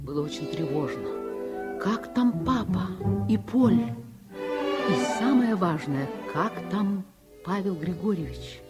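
An actress playing an earnest young girl who narrates a radio drama in a measured, reflective way. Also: lowish voice, lively intonation, over music. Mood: uneasy, reflective.

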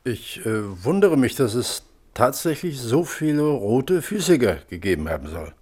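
Plain-spoken, wry hearing panelist. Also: male voice, mid-range voice, very animated, moderate pace, a little mellow, husky. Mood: puzzled. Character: folksy, puzzled male hearing panelist, wryly humorous. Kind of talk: German radio play, hearing-room questioning.

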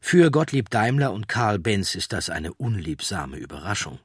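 A man narrator reading a scripted documentary with measured, deliberate phrasing. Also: on the low side, big pitch swings, husky, dry recording, clean studio sound.

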